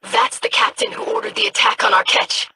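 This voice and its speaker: An actress voicing a curious, polite young alien scholar in a video game, with precise formal diction. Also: female voice, medium pitch, little pitch movement, fairly quick, noisy background, natural voice. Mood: inquisitive.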